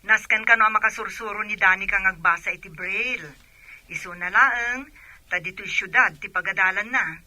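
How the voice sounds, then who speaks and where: A woman's voice: mid-range voice, bright, forceful tone, no echo, rough recording. A woman acting a helpful, practical aunt in an Ilocano radio drama, with an Ilocano Filipino accent. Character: helpful city aunt giving practical advice.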